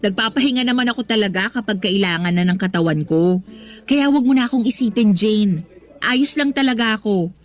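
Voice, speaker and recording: A woman's voice: medium pitch, a little fast, faint music behind, natural voice. A young woman with a Filipino accent playing a hardworking, evasive office employee in a radio drama. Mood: firm, reassuring.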